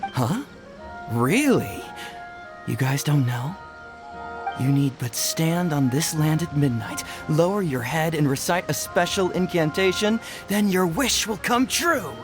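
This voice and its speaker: Chatty young game villager, kinda high voice, very lively, pushing gossip like a salesman. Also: unhurried, over music. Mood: eager, showy. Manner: chummy, animated storyteller. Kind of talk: video game character acting.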